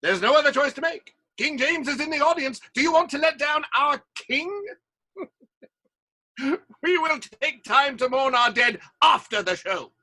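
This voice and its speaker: A man's voice: very high-pitched, expressive, measured pace, bright, forceful tone, exaggerated voice. A man playing a bossy, imperious playwright who leads a theatre troupe, with dramatic delivery in an audio play. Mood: commanding.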